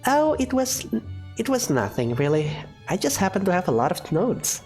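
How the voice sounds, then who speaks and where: A woman's voice: fairly low pitch, sing-song, normal speed, darkish tone, soft background music, voice pushed up. A woman voicing a prim, studious young female student who speaks formally and precisely.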